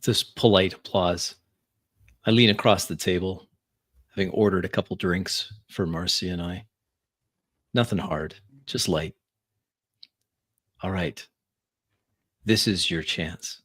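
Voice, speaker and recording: A man narrating his character's actions in first person during a tabletop roleplaying game, in deliberate, dramatic phrases. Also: low-pitched, steady tone, a bit bright.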